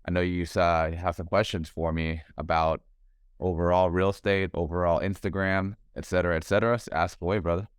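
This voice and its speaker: A young man with a low, smooth voice talks loosely and at length, dropping "man" and "bro" as he goes. He is a podcast guest on a video call from home. The sound is close and dry. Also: a little fast, dry recording. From Thailand. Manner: relaxed, talkative, calls the host bro and man. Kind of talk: casual interview chat.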